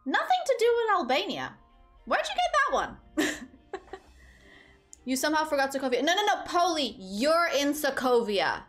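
A young woman from Portugal chats with her stream viewers into a headset mic in a small room. She talks casually, rambling and giggling, in a slightly high voice. Faint music plays in the background. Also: normal speed, pauses often. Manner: chatty, giggly, rambling, animated. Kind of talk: casual chat with live chat. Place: small room indoors at a desk.

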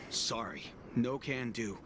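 A man's voice: mid-range voice, lively intonation, slow pace, noisy background. A man acting a guard in a video game, a weary type eager to quit work, with theatrical, sighing delivery. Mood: reluctant. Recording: loud game music underneath.

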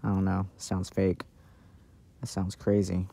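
A man doing a casual, intimate ASMR boyfriend roleplay in a soft-spoken, playful way, recorded outdoors. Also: low-pitched, monotone, unhurried, creaky. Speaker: audio roleplay performer playing a boyfriend. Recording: outdoor recording with background noise. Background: outdoor ambience and noise.

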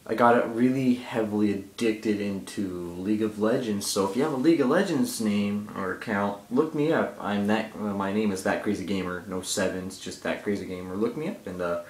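A teenage boy with a somewhat low voice rambles into a handheld iPod camera held at arm's length. He talks loosely and jokily, losing his thread. The small tiled bathroom gives the sound a hard, echoing ring. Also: moderate pace, hardly any pauses, a little mellow. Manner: rambling, easily distracted, jokey. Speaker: gaming vlogger. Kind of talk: casual rambling vlog. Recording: echoey bathroom.

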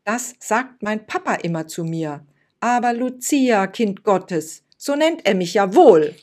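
A woman voicing a stubborn, feisty little girl who answers back loudly. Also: mid-range voice, lively intonation, slow pace, pitched above the performer's own voice. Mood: defiant. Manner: pleading, then defiant and loud.

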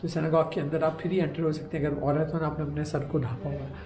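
A middle-aged man, chatting as a travel vlogger in a halting way with many pauses. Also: on the high side, level tone. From Pakistan. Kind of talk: casual travel vlog narration. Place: inside a large ornate synagogue hall. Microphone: handheld camera at arm's length.

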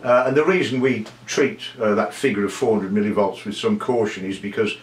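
A man in his sixties or older with a fairly low voice. He explains technical matters informally, taking his time and pausing with hesitations. His manner is modest, like a hobbyist presenter talking in a home study. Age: 60s or older. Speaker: presenter of a vintage audio technical video. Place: small home study with desk and bookshelves.

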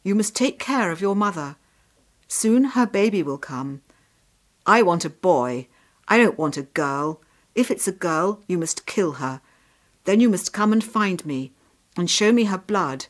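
A woman voicing a stern soldier father in a storybook, speaking in a forceful, commanding manner. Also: fairly low pitch, expressive, a little slow.

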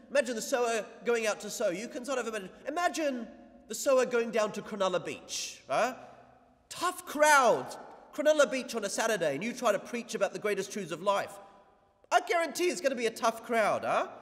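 A man's voice: sits high, in a large hall. A man preaching a conversational homily, with many asides to the congregation. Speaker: priest. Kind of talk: sermon. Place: church.

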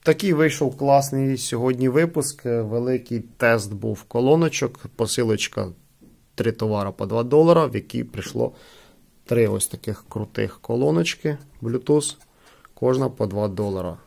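Man, gravelly creak, medium pitch, casual unboxing host, hands-on product test commentary. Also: moderate pace, darkish tone. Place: indoors at a wooden table.

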